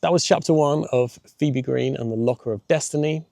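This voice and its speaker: A man's voice: medium pitch, brisk, gravelly, warm tone, no room sound, clean studio sound. A man reading a children's audiobook as its narrator, in scripted storytelling style.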